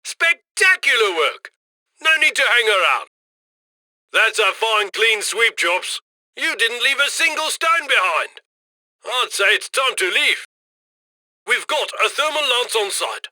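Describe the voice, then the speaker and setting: A man's voice: very high voice, very animated, slow, sharp tone, dry recording, exaggerated voice. An actor voicing a cocky, upbeat criminal heist handler in a video game, slick and conversational as he directs and eggs on the crew. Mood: gleeful, congratulating, then brisk, instructing. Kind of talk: video game mission voice-over.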